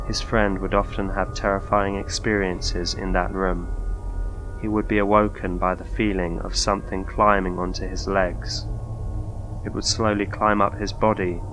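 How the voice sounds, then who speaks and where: A man narrating a scripted ghost story in documentary style, with measured, formal delivery. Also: sits low, low-quality recording.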